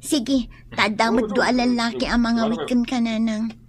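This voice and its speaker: A woman's voice: on the high side, little pitch movement, normal speed, a crowd in the background, close to an everyday voice. A young woman playing a dutiful, worried daughter with a Filipino Ilocano accent in a radio comedy drama, earnest and coaxing. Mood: coaxing, helpful. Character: dutiful, worried grown daughter, short of money.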